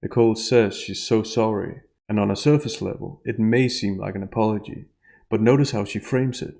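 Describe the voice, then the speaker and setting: Creaky low-voiced man giving analytical commentary. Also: steady tone, darkish tone. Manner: measured, explanatory, precise. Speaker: analyst and narrator of a statement analysis video.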